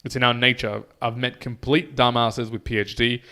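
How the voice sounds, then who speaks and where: Australian man, podcast co-host, casual chat, mid-pitched voice, reads messages out in a relaxed and easy way. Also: moderate pace. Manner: relaxed, chatty, says "mate" often.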